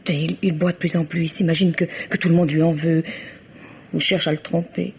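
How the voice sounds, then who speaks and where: An actress playing a frightened, distressed wife in an old French radio mystery, speaking haltingly and repeating her words. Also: on the low side, flat delivery, darkish tone, natural voice. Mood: anguished. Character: frightened, distressed wife fleeing a violent husband. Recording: dull, noisy vintage radio recording.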